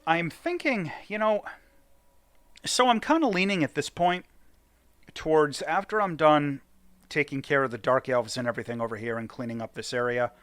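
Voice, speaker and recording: A man's voice: fairly high pitch, animated, moderate pace, a bit bright, dry recording. A man giving casual let's play commentary, thinking aloud and deliberating as he hosts a gaming video.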